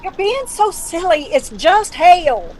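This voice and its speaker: A woman's voice: extremely high pitch, big pitch swings, slow pace, a little hiss or hum, heavily acted. An actress playing a confused, forgetful mother in an audio drama, fretful and scolding as she complains.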